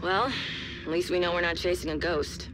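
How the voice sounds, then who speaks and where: A woman playing a steady, understated agent checking in with a colleague in a video game. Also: lowish voice, moderate pace, noisy background, lightly acted. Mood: dry, steady. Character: steady, dry-witted female field agent colleague. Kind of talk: dramatic game dialogue.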